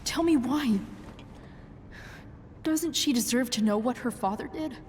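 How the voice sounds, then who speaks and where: Woman as loyal, blunt teen girl for game drama: halting, pleading, unhurried delivery, reverberant room. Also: on the high side, a little mellow, slight background noise, lightly acted. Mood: anxious, indignant. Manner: halting, sincere, sometimes profane. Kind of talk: dramatic video game cutscene acting.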